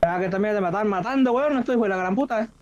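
Fast, high man on lo-fi chat. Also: darkish tone. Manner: slangy, foul-mouthed. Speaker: online gamer. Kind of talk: casual banter while gaming. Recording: dull lo-fi voice chat, music behind.

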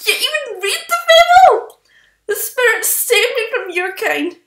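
A woman voicing a sweet, naive young forest girl, girlish and expressive, in a comic fan dub. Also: very high voice, measured pace, reverberant, heavily acted. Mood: furious. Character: gentle young magical forest girl, naive, playful, fiery when hurt.

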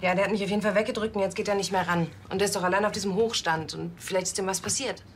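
A woman's voice: medium pitch, steady tone, racing, a little hiss or hum, close to an everyday voice. An actress playing an eager, informal young policewoman in a TV crime comedy. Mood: worried.

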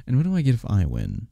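A man playing a sleepy, affectionate, playful husband in bed, speaking softly and teasingly in an intimate ASMR roleplay, close to the mic. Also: mid-range voice, gravelly, no room sound, full character voice. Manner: soft, drowsy, teasing, unhurried. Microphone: close, dry microphone.

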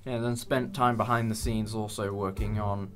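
A man's voice: lowish voice, flat delivery, moderate pace, fairly bright tone. A young man hosting a casual behind-the-scenes chat about a production, speaking in a hesitant, appreciative way. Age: young adult. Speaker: host and audio drama producer.

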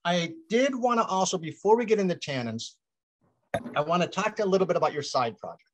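A man's voice: sits high, fairly quick, a little hiss or hum. A man in his fifties hosting a virtual wine tasting over a video call, talking energetically outdoors by a vineyard. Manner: energetic, gestures with hands while explaining. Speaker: host. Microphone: video call webcam.

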